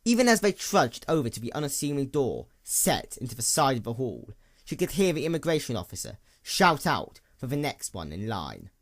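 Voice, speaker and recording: Animated male story narrator. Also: medium pitch. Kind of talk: scripted fiction narration.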